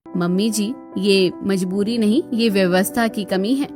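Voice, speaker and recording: A woman's voice: mid-range voice, unhurried, over music, lightly acted. A woman voicing an outspoken, earnest young daughter-in-law in a Hindi cartoon story, speaking assertively and persuasively. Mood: questioning.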